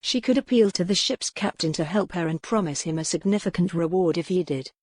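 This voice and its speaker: Low-pitched woman narrating an audiobook. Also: fairly quick, a little mellow, no echo. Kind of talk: scripted narration of a historical romance novel.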